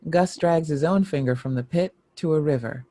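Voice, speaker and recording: A man's voice: on the high side, normal speed, mellow, no echo. A man giving scripted narration, reading a screenplay's stage directions.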